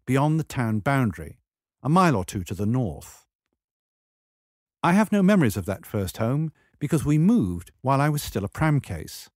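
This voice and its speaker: Male memoir narrator, wry and measured, with animated intonation and a dry studio-like sound. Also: mid-range voice, no echo. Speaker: memoir reader.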